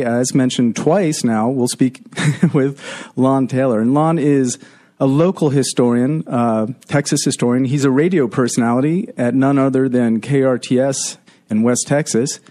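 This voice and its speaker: Male radio host, mid-range pitch, conversational interview delivery. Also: warm tone.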